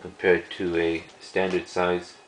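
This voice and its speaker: A man giving a casual, unscripted show-and-tell of a collection in a halting, rambling way. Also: sits low, monotone, slight background noise. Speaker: cassette collector presenting a collection.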